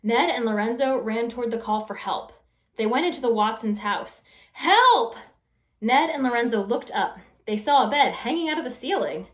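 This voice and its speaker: A woman's voice: on the high side, a little fast. A woman reading a children's story aloud expressively, as a storytime reader. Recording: roomy echo.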